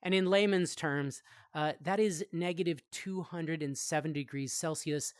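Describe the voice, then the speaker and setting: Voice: medium pitch, normal speed, smooth, no echo, clean studio sound. A talk show host presenting in a playful way, with theatrical announcer flourishes.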